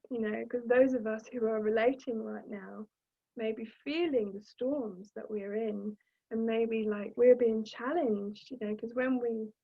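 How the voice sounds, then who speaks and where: A woman giving a flowing, gentle spiritual talk on astrology, filling her sentences with small fillers as she goes. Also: a little high, breathy edge. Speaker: astrology presenter. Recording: tinny phone-like sound.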